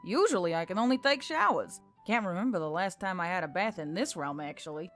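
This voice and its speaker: Woman acting a brash, gruff female centaur. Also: fairly low pitch, expressive, brisk, a bit bright, soft background music. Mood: pleased, surprised. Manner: growling, blunt, slangy.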